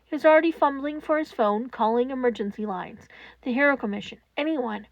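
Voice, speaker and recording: A woman narrating scripted fan fiction in a solemn, measured way with dramatic pauses. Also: high voice, dry recording, poor audio.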